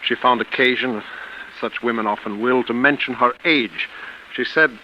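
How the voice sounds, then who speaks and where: An actor playing a haunted, agitated man in 1940s radio drama, with a halting, brooding delivery. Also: mid-range voice, natural voice. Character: haunted, agitated man tormented by near-death experience. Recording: muffled, noisy vintage radio recording.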